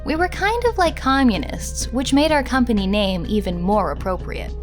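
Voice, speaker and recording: A woman reads written testimony for a documentary in a fairly high voice. Her delivery is scripted, with music playing faintly underneath. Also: lively intonation, normal speed. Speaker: reader of quotes. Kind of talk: scripted reading of quotes for a documentary.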